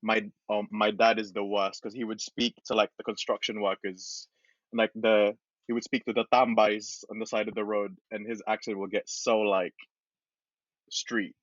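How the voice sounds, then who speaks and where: A man's voice: lowish voice, level tone, moderate pace, fairly bright tone, no room sound. Podcast host, male, giggly storyteller, muffled dry mic. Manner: laughs often while telling stories. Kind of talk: casual chat. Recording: dull, dry recording.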